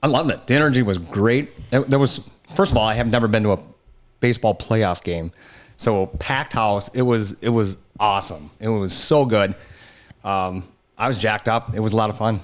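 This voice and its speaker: A man, co-hosting a casual podcast, excitable and enthusiastic. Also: fairly low pitch, moderate pace. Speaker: podcast co-host. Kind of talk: casual banter.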